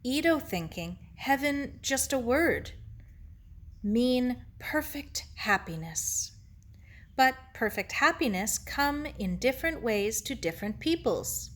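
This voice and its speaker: A woman voicing a gentle, wise elderly Japanese man in a storybook, speaking in measured, spare phrases of broken English. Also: on the high side, sing-song, voice pushed up, full character voice. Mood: gentle, consoling. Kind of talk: children's novel read aloud.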